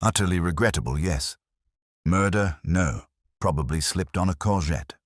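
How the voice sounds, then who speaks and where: A man's voice: deep voice, normal speed, dry recording, studio quality. A man voicing a flustered, evasive town alderman in a mystery story, clipped and insistent. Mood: defensive.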